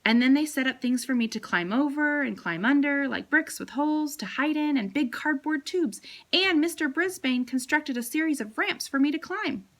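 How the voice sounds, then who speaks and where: A woman reading a children's chapter book aloud as a teacher, with expressive storytelling. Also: high voice, moderate pace.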